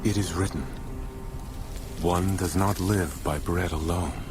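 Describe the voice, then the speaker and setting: Casting: calm, authoritative holy teacher, very low voice, firm delivery over loud score. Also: male voice, slow, over music. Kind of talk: audio bible drama acting.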